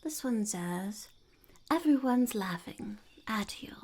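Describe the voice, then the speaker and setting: A woman voicing a mischievous, blunt female goblin peddler in soft, whispery ASMR roleplay, with a halting, deadpan delivery. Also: mid-range voice. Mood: deadpan, musing. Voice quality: breathy, creaky, whispery. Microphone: close ASMR microphone. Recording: close-up with clicks and faint noise.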